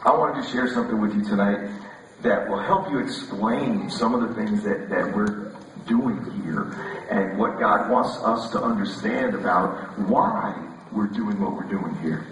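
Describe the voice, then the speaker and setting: A man preaching a relaxed, conversational sermon, his voice scratchy. He jokes and often repeats words as he restarts. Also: fairly low pitch, unhurried. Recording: echoey hall, voice from far off.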